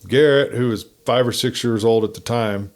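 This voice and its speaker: A man's voice: medium pitch, normal speed. A man reading a folksy first-person story in a campfire-tale narration style.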